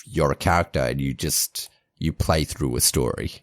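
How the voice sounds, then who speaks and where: A man co-hosting a podcast in casual chat, measured and thinking aloud as he gives his views. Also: a bass voice, dry recording, clean studio sound. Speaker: podcast co-host.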